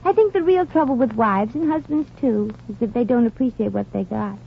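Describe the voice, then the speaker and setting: Wisecracking dame on muffled old radio. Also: female voice, on the high side, a little fast, creaky. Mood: wry, chatty. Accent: American. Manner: folksy, slangy, wisecracking. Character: brassy, wisecracking young woman with folksy Southern airs. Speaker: lead actress and narrator of a comedy series. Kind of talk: 1940s radio comedy acting. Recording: noisy, band-limited old radio recording.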